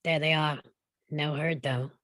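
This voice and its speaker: Low, anxious cowboy posse member played by a woman. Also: steady tone, unhurried, a bit bright, dry recording, clean studio sound. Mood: wary. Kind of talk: live table-read acting.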